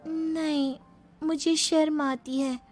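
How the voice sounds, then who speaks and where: Very high-pitched, coy young bride, acted by a woman. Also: fairly even intonation, slow, darkish tone, faint music behind. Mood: bashful. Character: shy but cheeky young bride. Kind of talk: cartoon moral story acting.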